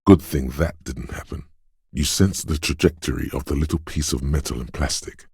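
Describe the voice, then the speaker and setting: A man voicing an alert, streetwise inner voice of quick reflexes in a video game, narrating dramatically and knowingly to the listener, very deep, gravelly and husky. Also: darkish tone, no echo, clean studio sound, exaggerated voice. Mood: watchful, suspenseful. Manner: knowing, conspiratorial, addresses listener as you.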